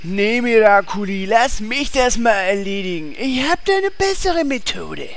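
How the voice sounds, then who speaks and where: A woman's voice: mid-range voice, expressive, measured pace. A woman playing a dopey, self-satisfied, laid-back adventurer with a naive delivery in a comedy audio drama. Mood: casual, confident.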